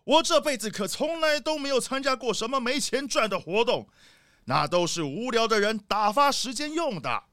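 A man's voice: very high-pitched, very animated, moderate pace, fairly bright tone, no room sound, studio quality, heavily acted. An actor playing a grumpy, self-important, suspicious rich father in a children's audio drama, blustering and complaining. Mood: irritated, dismissive.

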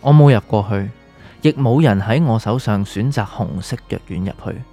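A man's voice: fairly low pitch, moderate pace, dark tone. An actor with a Hong Kong Cantonese accent playing an enigmatic, secretive male doctor in a radio drama, speaking in a cryptic, philosophical way. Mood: cryptic, solemn. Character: enigmatic male doctor, secretive guide offering fateful choices. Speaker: supporting character in a radio drama. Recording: music under the voice.

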